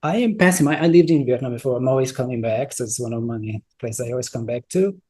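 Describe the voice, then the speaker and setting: Mellow-voiced man, searching for words. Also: mid-range voice, fast talker, husky. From Brazil. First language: Brazilian Portuguese. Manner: searching for words, many restarts, thoughtful. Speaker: guest, software entrepreneur. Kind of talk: casual interview answers.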